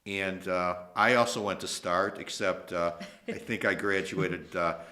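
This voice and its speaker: A middle-aged man from Ohio, an attorney, hosting a casual interview in a relaxed way with plenty of ums and uhs. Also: low-pitched, unhurried. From Toledo, Ohio. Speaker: host, attorney.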